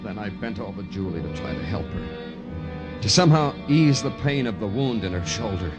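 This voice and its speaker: An actor playing a world-weary police detective in a 1950s radio noir drama, speaking in terse, sardonic and poetic lines on an old radio recording. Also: male voice, lowish voice, lively intonation, moderate pace, close to an everyday voice. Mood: tense recounting. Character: hard-boiled, world-weary male police detective narrating his case. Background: dramatic music.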